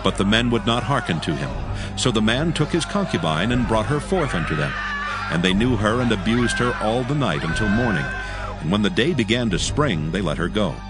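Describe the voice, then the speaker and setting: A man's voice: lowish voice, big pitch swings, fairly quick, loud music behind the voice. A man narrating scripture dramatically, with a solemn and formal delivery.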